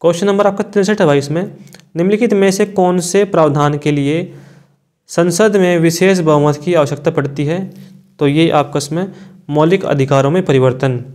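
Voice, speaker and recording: A man's voice: on the high side, fairly even intonation, normal speed, darkish tone. A man, an exam coaching teacher reading out quiz questions and giving answers in an informal, brotherly way.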